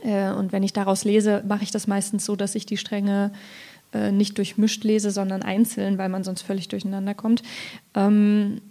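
Mellow, even-toned woman, reflective poet. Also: mid-range voice, normal speed. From Thuringia, Germany. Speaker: poet discussing her book. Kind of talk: reflective literary panel talk.

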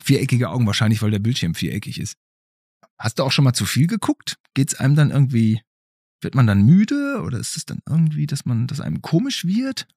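A middle-aged man hosts a casual interview. His voice is slightly rough and swings widely in pitch as he puts playful, curious questions. The sound is dry, with little room around it. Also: big pitch swings, fairly quick, a little mellow, no echo. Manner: playful, inquisitive. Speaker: podcast host interviewing a child.

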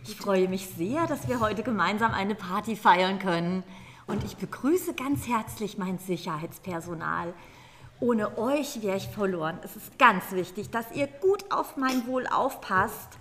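A woman's voice: mid-range voice, lively intonation, measured pace, fairly bright tone. A woman playing a haughty, fussy queen with a stately, formal manner in an improvised audio play. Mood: regal, fretful. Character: haughty, fussy queen afraid for her dress. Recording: faint background noise.

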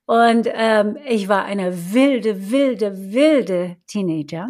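Woman with an American accent, halting German. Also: medium pitch, a little mellow. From United States. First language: English. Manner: halting German with many fillers and restarts. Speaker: guest, actress. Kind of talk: casual interview, personal story.

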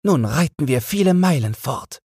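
A man voicing an eager little boy in a storybook, with an excited, urging delivery. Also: on the high side, normal speed, breathy edge, no room sound, clean studio sound, voice pushed up.

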